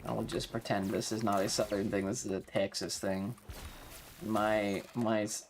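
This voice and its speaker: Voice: low voice, flat delivery, moderate pace. An American game streamer giving casual live commentary, swearing often, exclaiming and repeating phrases. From North Carolina, US.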